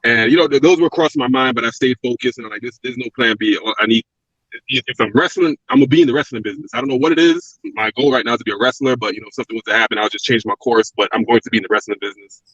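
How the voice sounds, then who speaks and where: Fast-talking Brooklyn man, dry lo-fi sound. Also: medium pitch, a bit bright, no echo. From Brooklyn, New York. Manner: reflective, rambling with restarts and fillers. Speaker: guest, former pro wrestler. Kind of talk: casual interview talk.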